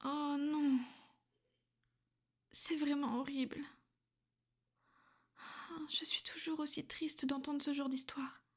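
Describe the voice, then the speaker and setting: A woman voicing a soothing vampire noblewoman who speaks gently and often in a hushed whisper. Her voice sits high and soft. She plays it as an intimate ASMR roleplay, caring on the surface but possessive underneath. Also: little pitch movement, takes it slowly, long pauses.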